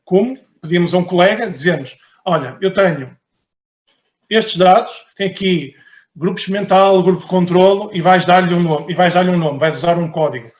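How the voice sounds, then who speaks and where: Researcher, male, European Portuguese accent, slightly high voice, hesitant, roomy sound. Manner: hesitant, repeats words while thinking. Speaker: researcher giving a conference talk. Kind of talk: conference presentation and Q&A.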